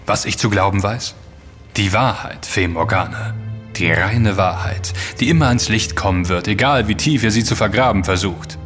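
An actor playing a self-assured, intense investigator in a German audio drama, speaking emphatically and accusingly, with dramatic delivery. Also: male voice, fairly low pitch, normal speed, fairly bright tone, natural voice. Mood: defiant, solemn. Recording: long reverb with music underneath.

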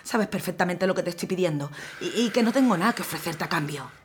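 A woman's voice: lowish voice, animated, fast, slight background noise, natural voice. An actress playing a stubborn, teasing young militia woman. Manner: determined, teasing.

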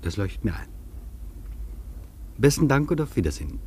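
An actor playing an earnest, reflective traveller in a scripted radio feature, speaking deliberately and rhetorically. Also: male voice, lowish voice, sing-song, warm tone, slight background noise. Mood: earnest, circling. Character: earnest reflective male traveller recounting an imagined interview. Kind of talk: scripted German radio feature, literary narration.

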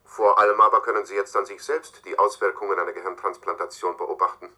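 An actor playing a cool, domineering scientist in a science-fiction radio play, delivering a formal, measured, matter-of-fact message. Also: male voice, lowish voice, little pitch movement. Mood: coolly formal. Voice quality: husky, sharp-edged. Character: cool, domineering male scientist leaving recorded instructions to successor.

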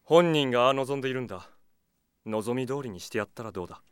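A man's voice: medium pitch, animated, fast, clear, resonant tone, no room sound, studio quality, natural voice. An actor playing a cold, proud mercenary swordsman, curt and aloof, in an anime-style audio drama. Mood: curt, irritated.

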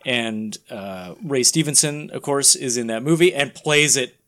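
A man's voice: medium pitch, a little slow, a bit bright. A man co-hosting a podcast in a casual pop culture chat. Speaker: podcast co-host.

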